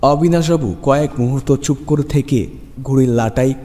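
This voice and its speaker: A man's voice: mid-range voice, moderate pace, warm tone. A man narrating a scripted horror story dramatically for a radio show. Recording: long reverb over music. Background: background music.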